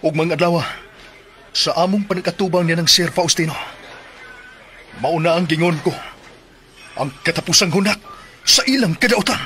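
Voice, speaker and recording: An actor playing an earnest, righteous young police lieutenant in a radio drama, declaiming emphatically with a Filipino accent. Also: male voice, a little high, normal speed, long pauses, sharp tone, slight background noise. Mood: firm, resolute. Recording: music behind the voice.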